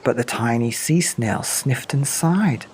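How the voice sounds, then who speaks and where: A man narrating a rhyming bedtime picture book in a measured, expressive read-aloud style. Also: mid-range voice, a little hiss or hum. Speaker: podcast storyteller.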